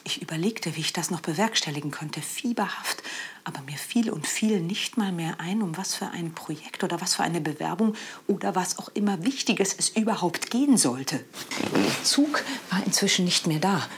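A woman playing an anxious freelance culture worker recounting a dream in a scripted monologue, husky, breathy and half-whispered. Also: on the low side, expressive, normal speed, heavily acted. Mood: anxious, dreamlike.

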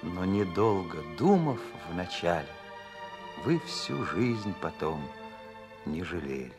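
An actor playing a solemn narrator who recites poetry in a radio drama, measured and expressive. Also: male voice, low-pitched, exaggerated voice. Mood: solemn, lyrical. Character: solemn male narrator reciting wartime verse. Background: music.

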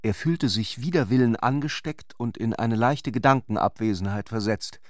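A man's voice: mid-range voice, normal speed, no echo, clean studio sound. A man narrating a literary radio drama from a script.

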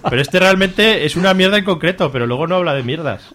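Fast-talking irreverent man from Spain. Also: on the high side, animated, fairly bright tone, a little hiss or hum. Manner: irreverent, colloquial, interjecting. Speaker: radio show host. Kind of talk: casual comic banter.

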